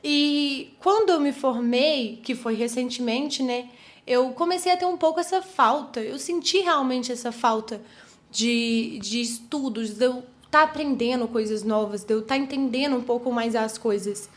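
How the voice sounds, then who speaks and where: Brief: twenties female podcast host, Brazilian accent, high pitched, animated, conversational monologue. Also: measured pace. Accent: Brazilian Portuguese. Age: 20s. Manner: chatty, animated, many fillers and restarts. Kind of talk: reflective solo podcast talk.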